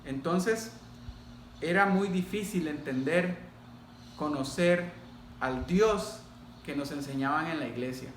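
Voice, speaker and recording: A middle-aged Costa Rican man speaks in a fairly high voice, as a pastor sharing his testimony. He talks deliberately, pausing and addressing listeners directly. The room is clearly echoey, with a faint layer of noise behind him. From Costa Rica. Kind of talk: personal testimony told to a congregation.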